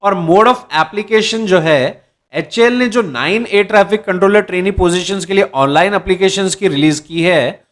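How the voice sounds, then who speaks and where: High-voiced man presenting job news. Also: normal speed. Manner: addresses viewers as "दर्शकों", occasional restarts. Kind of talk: explainer reading job details.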